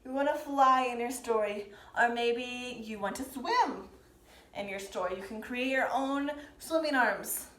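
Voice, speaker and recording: A woman teaching dance to young learners, explaining and demonstrating step by step with gentle, encouraging prompts. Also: fairly high pitch, a little slow. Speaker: dance teacher leading a children's pantomime lesson. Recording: roomy, echoing sound.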